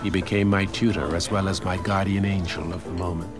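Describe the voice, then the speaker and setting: Man, memoir narrator, deep and calm, over background music. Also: brisk. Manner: calm, storytelling. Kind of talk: reading a memoir aloud.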